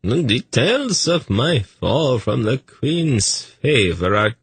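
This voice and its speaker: A man voicing a dreamy, scheming male eunuch in an audiobook, telling a story in a smooth, lilting way. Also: medium pitch, sing-song, takes it slowly, a bit bright, no echo, voice pushed up, exaggerated voice. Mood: wry storytelling.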